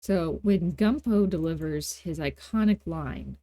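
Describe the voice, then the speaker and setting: A woman hosting a casual software tutorial, talking in an informal, playful and self-deprecating way. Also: low voice, measured pace, dry recording. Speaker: tutorial host.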